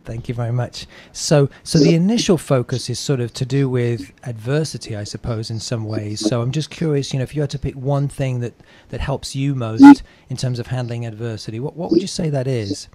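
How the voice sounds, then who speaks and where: A man hosting a podcast, interviewing conversationally and rephrasing his questions as he goes, with softened wording. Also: mid-range voice, brisk, few pauses.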